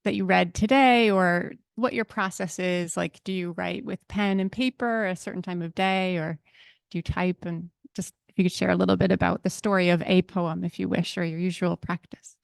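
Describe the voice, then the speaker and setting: Earnest woman with a gravelly voice. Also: fairly low pitch, steady tone, normal speed, dry recording. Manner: polite, earnest questioner. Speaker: audience member asking a question. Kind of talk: audience question.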